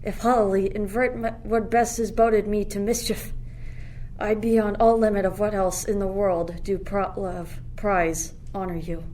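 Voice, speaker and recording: A student actress playing an earnest, adoring young royal lover in a Shakespearean radio play. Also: female voice, mid-range voice, fairly even intonation, a little slow, mellow, close to an everyday voice, poor audio. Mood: ardent. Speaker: student actor. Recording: faint noise, ambient sound effects.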